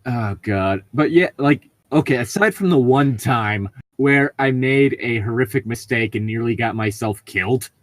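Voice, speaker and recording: A man's voice: mid-range voice, moderate pace, hardly any pauses, no room sound. A man casually reading out and joking about tabletop gaming stories, amused and given to swearing. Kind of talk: casual group banter and story reading.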